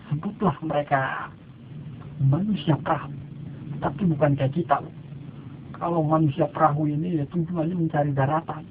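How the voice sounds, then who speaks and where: A man narrating a fable in a casual storytelling style, with chatty asides to his listeners. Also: fairly high pitch. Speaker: narrator in pirate persona. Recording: tinny lo-fi with faint noise.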